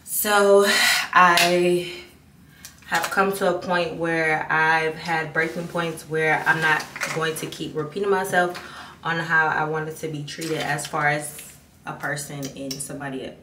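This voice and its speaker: A woman's voice: low-pitched, fairly even intonation, a little slow. Young female vlogger, candid and rambling, noisy home recording. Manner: candid, rambling, restarts often. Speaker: beauty vlogger. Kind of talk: casual chat to camera. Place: bathroom at home, indoors. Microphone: camera mic at a distance. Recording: echoey bathroom, distant mic, background noise.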